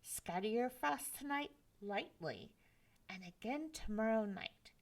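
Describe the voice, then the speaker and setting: A woman's voice: fairly high pitch, expressive, unhurried. A woman voicing a stern old mother figure, the North Wind, who scolds her son firmly.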